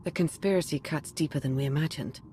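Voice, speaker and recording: A woman's voice: low-pitched, warm tone, slight background noise, natural voice. Actress as grave calculating female adviser. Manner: formal, deliberate. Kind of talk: cinematic video game voice acting.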